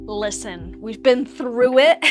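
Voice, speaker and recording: Chatty young woman with a high voice. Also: slow pace, soft background music. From Argentina. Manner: chatty, self-teasing, laughs often. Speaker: livestream host. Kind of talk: casual livestream chat with viewers.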